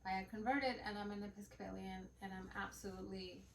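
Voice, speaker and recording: A woman's voice: medium pitch, fairly even intonation, brisk, recorded from a distance. A woman co-hosting a casual podcast from a room at home, her thoughts wandering through fillers and restarts. She sits a little away from the mic, so the room rings around her voice and a faint hiss sits underneath. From Bangladesh and the United States. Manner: rambling, full of fillers and restarts. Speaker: podcast co-host. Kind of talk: casual chat. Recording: roomy, off-mic with faint background noise.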